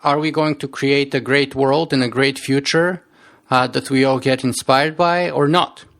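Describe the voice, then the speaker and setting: A man's voice: mid-range voice, steady tone, unhurried, a bit bright. A man with a strong Bulgarian accent, a podcast guest telling his story in an earnest interview manner, often repeating words as he restarts.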